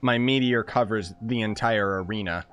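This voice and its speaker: A young man giving casual, excitable live commentary on a video game, reacting aloud in an informal way. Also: lowish voice, moderate pace, smooth. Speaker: game streamer.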